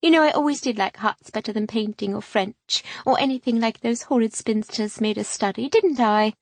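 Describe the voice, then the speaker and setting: A woman reading an audiobook, voicing an effusive young milliner who chatters in a high, sing-song voice. The recording is dry. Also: moderate pace, dry recording. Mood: gushing.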